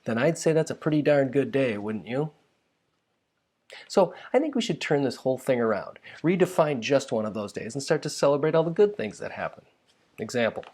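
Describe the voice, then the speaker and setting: A man's voice: medium pitch, darkish tone. A man reads his own humorous first-person essay in a wry, conversational, self-deprecating way.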